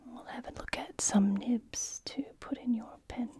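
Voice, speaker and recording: A woman speaking in a soft, breathy whisper, gently role-playing an attentive shop assistant for ASMR. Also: a little high, steady tone, no room sound. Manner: gentle, unhurried, attentive to the customer.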